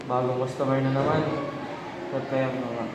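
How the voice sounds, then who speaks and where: Lalaking gumaganap na magalang na manloloko, sobrang alingawngaw. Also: male voice, mid-range voice, level tone, moderate pace, close to an everyday voice. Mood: gleeful, scheming. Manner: deferential, courteous phrasing. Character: polite, sly male online-selling scammer posing as shop staff. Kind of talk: amateur student film acting. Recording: very echoey hall, distant noisy mic.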